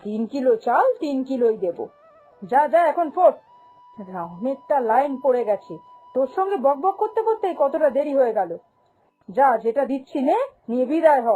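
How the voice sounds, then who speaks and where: A woman's voice: high voice, sing-song, normal speed, narrow, tinny audio, soft background music. A woman voicing a greedy, domineering, haughty female shop boss in a cartoon, scolding and dismissive. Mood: scornful, dismissive. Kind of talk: cartoon fairy-tale voice acting.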